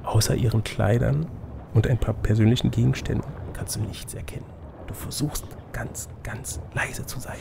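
A man's voice: mid-range voice, fairly even intonation, moderate pace, gravelly, noisy background. A man reading out an adventure gamebook as game master, slipping between narration and playful, teasing casual banter.

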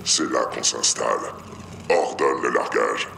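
An actor playing a hulking, domineering alien brute warrior in a video game, with a guttural voice. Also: male voice, unhurried, bright, forceful tone, heavily acted. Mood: commanding. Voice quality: gravelly, guttural. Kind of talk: video game character acting. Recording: heavy background rumble, echoing.

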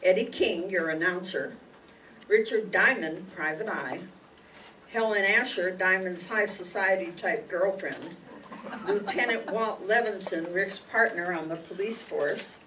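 An older woman reads a scripted introduction as presenter. Her voice is on the low side, heard across a hall with faint noise. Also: unhurried, sharp tone. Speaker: presenter introducing radio plays. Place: meeting room stage before an audience. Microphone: handheld microphone, recorded by audience camera. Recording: distant mic, noisy hall.

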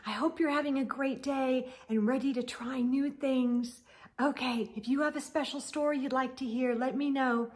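A middle-aged woman hosting a children's storytime, reading aloud expressively with drawn-out words and gentle asides. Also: high voice, fairly even intonation. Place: indoor room lined with bookshelves.

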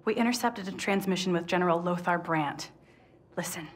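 An actress playing a brisk, no-nonsense young woman, a resistance tech expert in a video game. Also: fairly low pitch, natural voice. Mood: businesslike. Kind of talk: video game cutscene acting.

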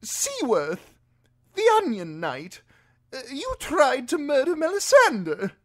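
An old aristocrat from a fantasy audiobook, pitched far up and shrill. He is pompous and theatrical, a pampered lord given to frantic outrage, with wildly swooping intonation. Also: wildly swooping pitch, takes it slowly, exaggerated voice. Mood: startled. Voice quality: shrill, screechy when upset.

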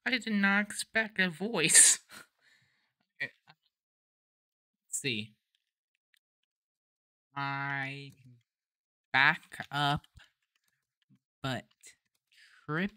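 Casual game host, slow and halting, very bright forceful voice, close dry sound. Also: medium pitch, animated, dry recording. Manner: hesitant, thinking aloud while typing. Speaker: gamer host. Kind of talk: casual game playthrough commentary.

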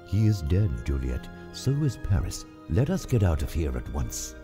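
A man's voice: very deep voice, big pitch swings, normal speed, music in the background, voice pushed down. A man voicing a solemn, remorseful elderly friar, grave and confessional, in a dramatized classic. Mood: urgent. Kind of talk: dramatized illustrated-classic audio play.

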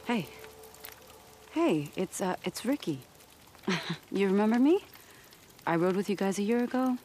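A woman's voice: medium pitch, expressive, measured pace, warm tone, soft background music, lightly acted. An actress playing a tough, sardonic woman survivor in a video game drama. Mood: cautious, friendly. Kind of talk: cinematic video game acting.

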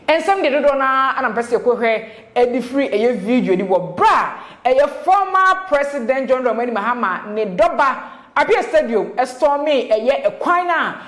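A young woman with a Ghanaian accent, hosting and presenting gossip news commentary. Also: on the high side. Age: young adult. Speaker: gossip show host. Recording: roomy indoor echo.

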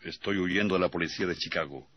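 An actor playing a gruff, defiant robber on the run in a radio play, speaking curtly and impatiently. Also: male voice, low-pitched, fast, slight background noise, close to an everyday voice. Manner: curt, impatient. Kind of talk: radio theatre acting. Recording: dull vintage radio recording.

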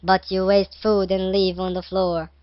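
A man doing a slow, plaintive child-like cave creature. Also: high-pitched, completely flat delivery, no room sound, highs cut off. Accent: Egyptian. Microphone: headset.

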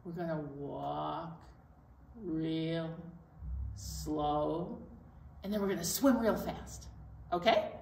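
A middle-aged woman presenting storytime to young children, animated and encouraging as she talks and leads action songs. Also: sits low, slow, vocal fry, pauses often. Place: indoor library storytime set. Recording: roomy, distant mic, faint noise.

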